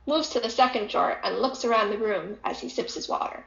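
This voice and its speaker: A woman's voice: fairly high pitch, a little fast, creaky, a bit bright. A woman reading stage directions as a scripted narration. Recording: echoey room, dull lo-fi audio.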